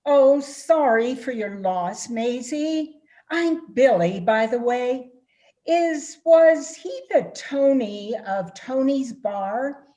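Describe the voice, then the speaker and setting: A woman acts an upbeat young female electrical worker in a radio play. Her voice is fairly high and swings widely in pitch. She speaks slowly, with folksy, exclamatory chattiness. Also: animated, dark tone. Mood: friendly, curious. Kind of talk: amateur readers' theatre radio play.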